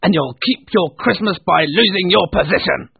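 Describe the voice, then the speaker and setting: A man's voice: mid-range voice, big pitch swings, slow, breathy edge, dry recording, exaggerated voice. Man playing a curt, thin-sounding old miser. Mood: angry, barking. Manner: curt, barking, dismissive. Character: mean, cold old miser, gruff and scornful businessman. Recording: thin, phone-like narrow-band sound.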